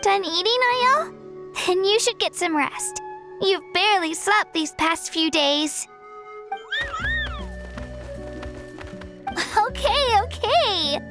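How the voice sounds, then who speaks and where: A woman voicing an earnest, caring young child villager in video game dialogue, soft-hearted and grateful. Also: extremely high pitch, animated, slow pace, full character voice. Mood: relieved, delighted. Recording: background game music.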